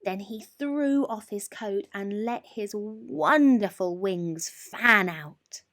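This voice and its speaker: A woman storyteller reading a children's picture book expressively, with deliberate phrasing, drawn-out emphasis and dramatic pauses. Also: medium pitch, fairly bright tone. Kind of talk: expressive bedtime story narration.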